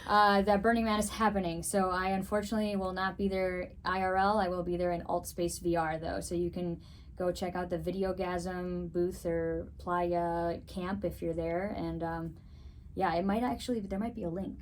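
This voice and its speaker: A woman's voice: on the low side, level tone, moderate pace, a little hiss or hum. A young woman, Greek her first language, answering interview questions in an upbeat, chatty way, often wrapping up with "so, yeah". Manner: upbeat, chatty, frequent "so, yeah" wrap-ups. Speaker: guest, figure skater and musician.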